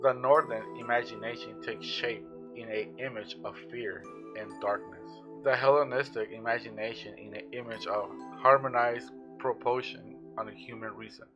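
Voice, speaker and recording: Guy doing a tv nature doc narrator, measured, kinda dull lo-fi audio, music playing behind. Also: medium pitch, steady tone, natural voice. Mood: measured, informative. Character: measured male television documentary narrator. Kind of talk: scripted documentary narration. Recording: dull lo-fi TV sound.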